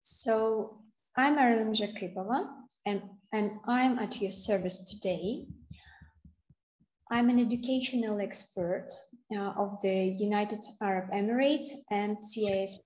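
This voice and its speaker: A woman from Kazakhstan giving an online webinar presentation, slow and hesitant with many fillers, heard over a video call. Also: medium pitch, darkish tone, reverberant, rough recording.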